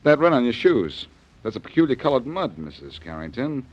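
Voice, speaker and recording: A man's voice: mid-range voice, big pitch swings, brisk. A man playing a cocky, wisecracking private detective in a 1940s radio drama, sardonic and needling. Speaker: detective lead. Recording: dull old radio recording.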